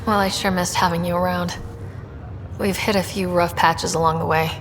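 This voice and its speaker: A woman's voice: on the low side, steady tone, normal speed, long reverb tail, a little hiss or hum, close to an everyday voice. An actress playing a resolute young woman who leads space explorers in a video game. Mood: fond, teasing. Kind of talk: video game dialogue acting.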